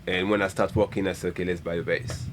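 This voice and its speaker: A man from Guadeloupe, a bass player earnestly explaining his view in a casual interview. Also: fairly even intonation, fast talker, slight background noise. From Guadeloupe, grew up in France. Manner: earnest, emphatic, repeats his point.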